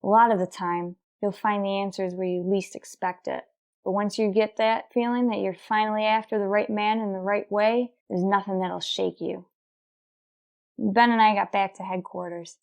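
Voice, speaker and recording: A woman's voice: mid-range voice, moderate pace, vocal fry, natural voice. A woman playing a determined, businesslike police detective sergeant, narrating a radio crime drama in a clipped, matter-of-fact way. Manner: terse, deadpan, procedural. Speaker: narrator and lead actor.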